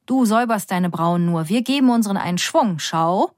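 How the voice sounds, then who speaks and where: A woman narrator voicing a nosy, stern woman in a mosque who questions and scolds a stranger in a quick, know-it-all way. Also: medium pitch, animated, darkish tone, no room sound, clean studio sound, natural voice. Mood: scolding.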